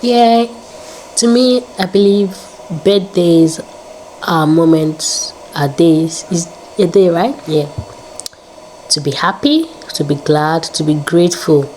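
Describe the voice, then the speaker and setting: A woman's voice: fairly low pitch, takes it slowly, a little mellow, slight background noise, low-quality recording. A woman in her late twenties vlogging in a casual, upbeat way, repeating words and adding prayerful asides. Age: 20s. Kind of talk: casual faith-filled vlog talk.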